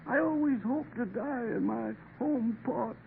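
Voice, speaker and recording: An actor playing a weak, dying ship's parson, meek and halting, in a 1950s radio drama. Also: male voice, extremely high pitch, creaky, heavily acted. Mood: resigned, fading. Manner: faint, halting, plain-spoken speech. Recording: tinny, noisy old radio recording.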